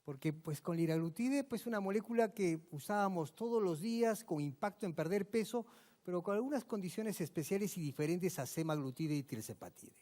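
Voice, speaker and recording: A man from Peru delivers a medical lecture in a large hall, his voice a bit higher than most men's and trailing long reverb. He talks emphatically and asks the audience questions. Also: lively intonation, brisk, mellow. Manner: emphatic, rhetorical questions, addresses audience directly. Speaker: physician lecturer.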